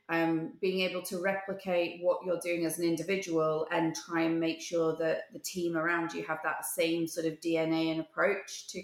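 Low-voiced businesswoman in an echoey room. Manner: measured and articulate, with many "um"s. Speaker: company CEO, guest. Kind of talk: interview answers.

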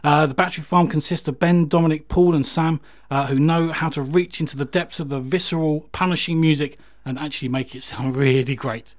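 A man's voice: on the high side, steady tone, a little fast, hardly any pauses. A man presenting a music radio show in a casual, enthusiastic, effusive way between songs.

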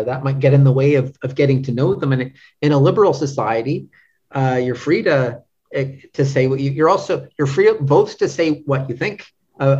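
A man's voice: medium pitch, darkish tone. A man speaking as a podcast interview guest, casual and hesitant, groping for words and checking in with the listener. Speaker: podcast guest, literary translator. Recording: dull, band-limited lo-fi audio.

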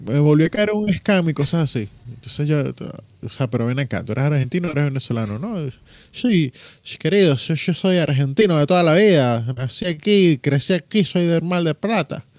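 Teasing man, slightly high voice. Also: no echo. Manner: relaxed, teasing, drawn-out storytelling. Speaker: podcast co-host. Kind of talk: casual chat.

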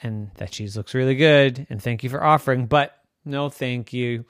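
A man's voice: mid-range voice, normal speed, no echo, studio quality, lightly acted. A man voicing a timid, wary little female mouse who speaks hesitantly. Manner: hesitant, nervous.

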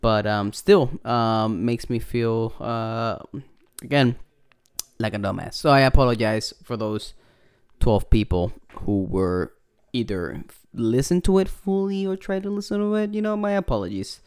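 A young man with a Puerto Rican accent talks loosely into his podcast mic, full of fillers and curse words. His voice is smooth and clear at a middling pitch. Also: measured pace, darkish tone. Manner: rambling, profane, with many fillers. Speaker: podcast host. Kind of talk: casual podcast intro.

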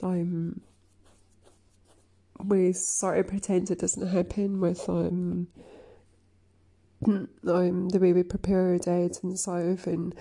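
A woman chatting casually, sniffly from crying, halting with many fillers and frequent throat clearing. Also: lowish voice, little pitch movement, warm tone. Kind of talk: casual rambling chat.

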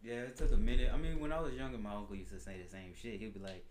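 A man as a podcast guest chatting casually, relaxed and hesitant, with many fillers and laughs. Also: on the low side, very fast, slight background noise.

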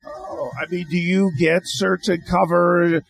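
Gravelly, halting male radio host. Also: sits high, steady tone. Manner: halting, rambling questions with many restarts. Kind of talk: interviewing a guest.

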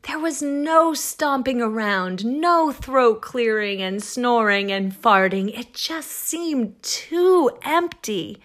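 An actress playing an exasperated, confiding woman in a comic first-person story monologue, with wry emphasis and dramatic pauses. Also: a little high, expressive, a bit bright. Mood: wistful, sad. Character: exasperated, wryly confiding woman plagued by monsters and heartbreak. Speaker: actress reading a short story.

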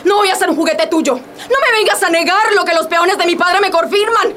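An actress playing a jealous, proud young wife in a radio drama, emphatic and reproachful. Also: female voice, very high voice, a little fast, pushed and bright, a little hiss or hum. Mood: furious, accusing.

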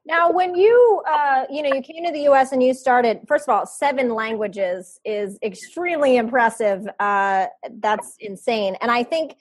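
A woman's voice: high voice, normal speed, hardly any pauses. A woman hosting a podcast interview, speaking in an upbeat, enthusiastic way. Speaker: podcast host.

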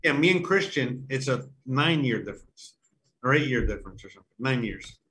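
A man's voice: medium pitch, lively intonation, a little fast, sharp tone, poor audio. A man of forty co-hosting in casual chat, joking and poking fun at himself. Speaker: livestream co-host.

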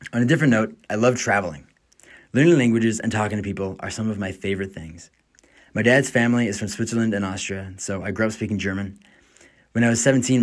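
A young man in his twenties, an American podcast host, reading his own script with an upbeat, enthusiastic manner. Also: fairly low pitch. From United States. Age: 20s.

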